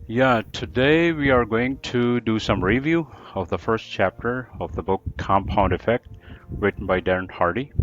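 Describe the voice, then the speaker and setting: A man's voice: fairly low pitch, lo-fi sound. A man with an American-trained accent, a teacher, answering interview questions in an emphatic, explanatory way, often repeating phrases. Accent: American-trained English. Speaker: book reviewer, English teacher.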